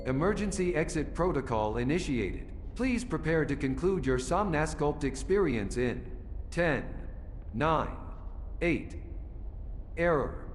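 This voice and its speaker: A man's voice: fairly high pitch, very animated, measured pace, pauses often, faint music behind. A man voicing a formal, impersonal automated emergency protocol system in a game. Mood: impersonal, procedural. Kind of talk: scripted game announcement.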